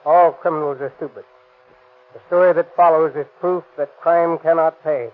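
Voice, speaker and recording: Police official, male, fairly high voice, stern lecture read aloud, tinny old radio. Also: steady tone, moderate pace, slight background noise. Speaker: police department guest speaker. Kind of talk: read prepared statement. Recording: tinny 1930s radio broadcast.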